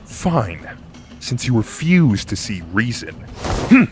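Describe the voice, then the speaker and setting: A man's voice: mid-range voice, big pitch swings, slow, music in the background. A man playing a stern, combative magical fighter in an audio roleplay, commanding, confident and impatient. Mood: stern, dismissive. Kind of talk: dramatic audio roleplay acting.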